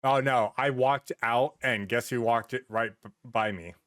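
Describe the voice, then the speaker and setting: A man's voice: medium pitch, steady tone, measured pace, fairly bright tone, no room sound, studio quality. A man co-hosting a podcast, chatting casually and bluntly with plenty of swearing and repeated words. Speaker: podcast co-host. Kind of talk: casual chat, anecdotes and opinions.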